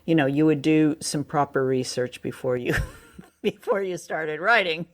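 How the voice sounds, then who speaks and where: A woman's voice: low voice, a little slow. Woman co-hosting a casual review podcast. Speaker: podcast co-host.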